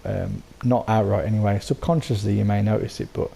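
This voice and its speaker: A man with a sore throat and a croaky voice, casually talking through how he built something, rambling with lots of ums and restarts. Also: fairly low pitch, fairly even intonation, fairly quick, dark tone, slight background noise. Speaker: game settlement builder. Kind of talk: casual voice-over walkthrough.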